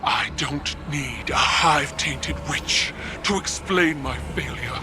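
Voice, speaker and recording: An actress playing a proud, stern alien empress in a video game, speaking in a commanding, formal and defiant manner. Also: female voice, deep voice, slow pace, raised voice, music in the background. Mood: bitter. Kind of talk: dramatic video game cutscene acting.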